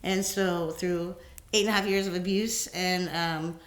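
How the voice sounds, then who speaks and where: Low-voiced woman co-host, steady and affirming. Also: a little slow, reverberant. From Houston, Texas. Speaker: podcast co-host. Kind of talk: casual conversation and personal testimony.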